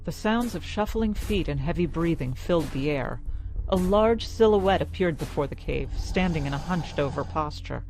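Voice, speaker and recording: A woman storyteller reading scripted fantasy narration in a measured, dramatic way. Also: sits low, animated, a little mellow, poor audio. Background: music.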